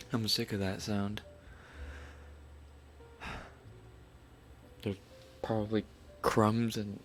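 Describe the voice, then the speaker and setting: A man hosting an ASMR live stream, chatting at a slow, unhurried pace. His voice is on the low side and he talks softly and loosely, with a casual swear here and there. A faint hiss sits under the sound. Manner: soft-spoken, laid-back, swears casually. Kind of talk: casual late-night live stream chat.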